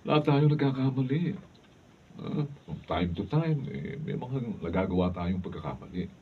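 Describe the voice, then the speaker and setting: A man's voice: medium pitch, lively intonation, moderate pace, slight background noise, close to an everyday voice. A man playing a brotherly coworker giving admonishing advice in a Filipino radio drama. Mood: concerned. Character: concerned, advice-giving male coworker and friend. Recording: thin phone-like sound, distant mic.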